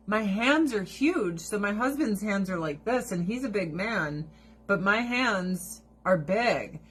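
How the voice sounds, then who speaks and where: A woman's voice: medium pitch, slight background noise. Blunt, laughing woman live streaming. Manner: blunt, profane, animated, laughing often. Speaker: direct sales rep live streaming. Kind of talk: casual live stream chat to viewers. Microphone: phone or camera held close.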